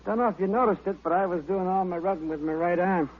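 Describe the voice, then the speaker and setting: An actor playing an affable, casual masseur in an old-time radio drama. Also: male voice, sits high, fast talker, close to an everyday voice. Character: affable male masseur, casual working guy. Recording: muffled noisy vintage radio recording.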